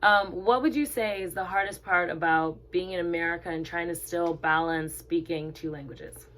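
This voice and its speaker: A woman's voice: fairly low pitch, steady tone, normal speed, fairly bright tone, low-quality recording. A young woman interviewing a friend in casual chat, laughing often and filling gaps with "um" and "like". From Zimbabwe. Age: young adult.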